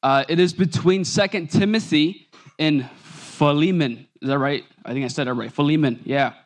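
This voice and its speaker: Young guy in his 20s preaching, earnest and loose, slightly high voice. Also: normal speed. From Nebraska. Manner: earnest, informal, with fillers and self-corrections. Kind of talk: sermon.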